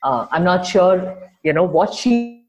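Low, mellow-voiced woman. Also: animated, a little slow, low-quality recording. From Chennai, India. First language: Tamil. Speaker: entrepreneur guest. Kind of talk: podcast interview answers.

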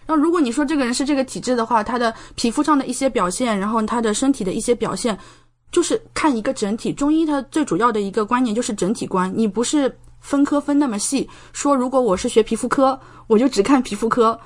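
A woman's voice: a little high, fast. A woman in her thirties from China talking casually as a podcast guest, explaining things in a chatty way with frequent tag questions. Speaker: podcast guest, doctor studying abroad. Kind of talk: casual conversational interview, explaining and recounting.

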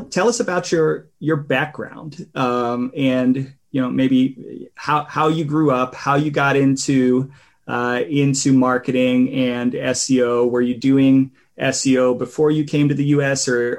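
A man hosting a podcast in a conversational interview style, with a halting delivery. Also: medium pitch, fairly even intonation. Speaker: podcast host.

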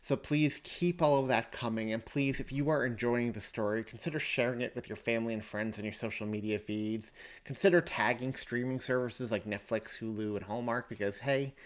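A man hosts a podcast, talking straight to his listeners. His voice has a medium pitch and a conversational delivery. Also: a little fast, few pauses. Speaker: podcast host and story author.

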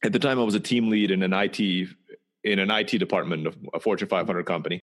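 A man who grew up in Turkey, telling his life story in a relaxed, conversational way, sometimes restarting and repeating words. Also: low voice, quick pace. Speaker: interview guest. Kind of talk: storytelling personal history.

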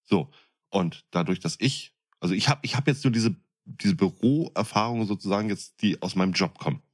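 A man's voice: fairly low pitch, normal speed. A man co-hosting a casual podcast, speaking bluntly and often repeating words as he gets going. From northern Germany. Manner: blunt, opinionated, stumbling repetitions. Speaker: podcast co-host.

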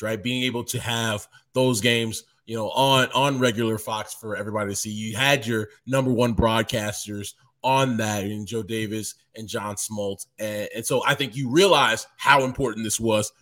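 Animated man, baseball writer guest. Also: fairly low pitch. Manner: animated, rambling with restarts. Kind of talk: casual interview talk.

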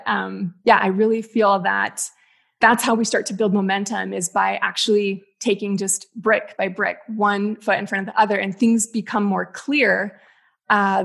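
Reflective woman with a gravelly edge. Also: medium pitch. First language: Spanish. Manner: reflective, many "like" and "um" fillers. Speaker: guest, business mentor. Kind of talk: casual podcast conversation.

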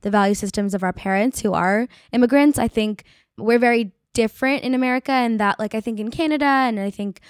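A woman's voice: fairly high pitch, a little fast, dry recording, studio quality. A woman in her twenties from the Bay Area, a university student guest, talking casually with many "like"s and "um"s. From California Bay Area. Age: 20s. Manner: chatty, lots of "like" and "um". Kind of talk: casual conversation.

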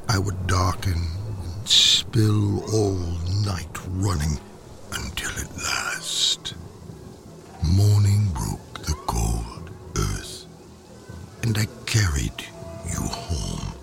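A man voicing a wintry flesh-eating demon who lures a child, slow, sinister and coaxing, in a low murmur that breaks into whispers. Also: expressive, gravelly, full character voice. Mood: brooding, tender. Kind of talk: dramatic poetry performance. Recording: music bed under the voice.

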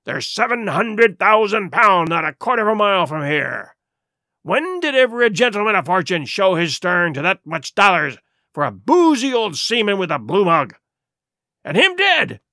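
A man voicing a defiant, cunning pirate leader in a storybook, with emphatic, rough seafaring speech. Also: fairly high pitch, sing-song, unhurried, bright, forceful tone, no echo, clean studio sound, exaggerated voice. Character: defiant, cunning male pirate ringleader, bold and scornful.

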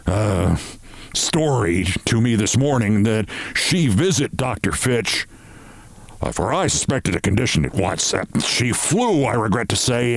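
A man's voice: mid-range voice, dry recording. A man voicing a pompous older male boss in a story, formal and regretful, hemming and hesitating as he goes. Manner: halting, formal, hemming with ums and uhs. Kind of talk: storybook character voice.

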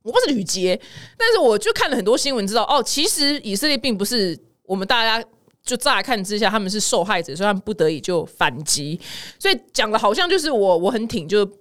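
A woman's voice: medium pitch, fast. A woman with a Taiwanese Mandarin accent giving casual, chatty news commentary as a podcast host, acting out the people she quotes. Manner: chatty, animated retelling with acted-out quotes.

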